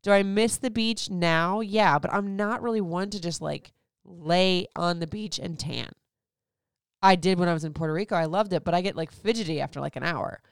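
A woman's voice: fairly low pitch, moderate pace, gravelly, clear, resonant tone, dry recording, clean studio sound. A woman in her thirties from Florida, chatting candidly as a host answering listener questions, rambling with lots of "like"s. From Florida, USA. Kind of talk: casual chat.

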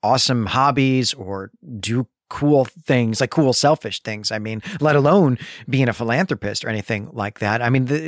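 A man's voice: mid-range voice, no echo, studio quality. A man hosting a podcast, talking through a literary analysis in an emphatic, explanatory way. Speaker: podcast host. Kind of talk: literary discussion.

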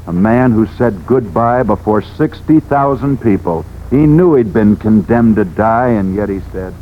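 A man's voice: on the low side, lively intonation, unhurried. An American man telling sports stories as a 1940s radio host, emphatic and theatrical, building up through repetition. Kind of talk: 1940s dramatic radio narration. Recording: dull, noisy 1940s radio recording.

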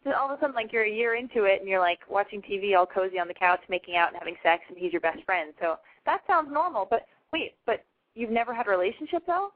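A woman in her twenties from Los Angeles, hosting a call-in advice show, frank and gushing. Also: mid-range voice. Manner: frank, gushing, talks fast. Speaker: call-in radio advice host. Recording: tinny phone-line sound.

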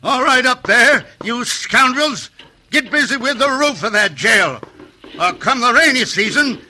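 Gruff Old West lawman, high and piercing, folksy American speech, vintage radio western drama. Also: expressive, measured pace, bright, forceful tone, full character voice. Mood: barking orders. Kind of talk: 1950s radio western acting.

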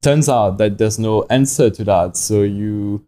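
A man's voice: lowish voice, unhurried, mellow. A young man from France with a French accent, telling stories casually and informally as an interview guest, often acting out his own past thoughts. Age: young adult. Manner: informal, frequent self-quoting in anecdotes. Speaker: podcast guest, watch company founder. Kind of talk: casual conversational storytelling.

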